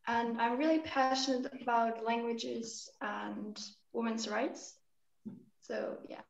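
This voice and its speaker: A seventeen-year-old girl from Germany talks casually on a video call, leaning on 'like' and 'kind of'. Her voice sits a bit high. The sound is roomy and dull, as if she is in a bare room on a weak line. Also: steady tone, takes it slowly, vocal fry. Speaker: guest. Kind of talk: casual online chat. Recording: muffled, echoey room over a call.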